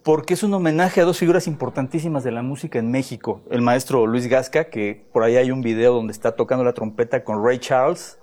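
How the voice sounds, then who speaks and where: A man's voice: medium pitch, brisk, few pauses, darkish tone. A man, an interview guest explaining things fluently and enthusiastically in a conversational way. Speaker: music journalist and musician, interview guest. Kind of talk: conversational explaining, promoting an event.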